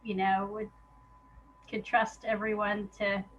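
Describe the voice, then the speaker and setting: A woman's voice: medium pitch, flat delivery, slow, muffled recording. A woman speaking as an interview guest in a casual, reflective way, candid and hesitant, often restarting her thoughts. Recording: noticeable background noise.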